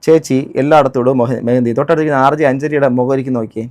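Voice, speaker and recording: A man's voice: medium pitch, fairly even intonation, very fast, a little mellow. A man giving spoken commentary on a clip, indignant and full of rhetorical questions. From Kerala, India. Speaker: reaction vlogger.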